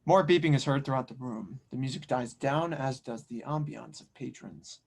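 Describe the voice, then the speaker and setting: A man's voice: mid-range voice, moderate pace, gravelly. A man reading stage directions as narrator in a scripted audio drama table read.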